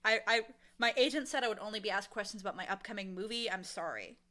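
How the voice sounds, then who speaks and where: A woman speaking casually as an interview guest, animated and emphatic, with a playfully blunt way of talking. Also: mid-range voice, fast talker. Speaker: interview guest, audio drama creator and casting director.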